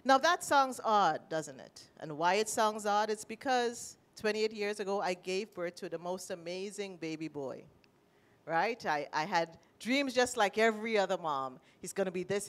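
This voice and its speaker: Animated Trinidadian woman on a panel. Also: medium pitch, moderate pace. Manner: animated, emotional, with laughs. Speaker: panel speaker. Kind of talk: personal talk at a panel. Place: panel stage in a large indoor room. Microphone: handheld mic.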